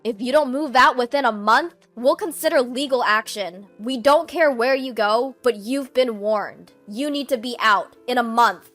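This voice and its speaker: Woman voicing a loud, stern, fed-up husband. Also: on the high side, moderate pace, few pauses, faint music behind, lightly acted. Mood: threatening. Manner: emphatic, confrontational. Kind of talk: dramatized storytelling dialogue.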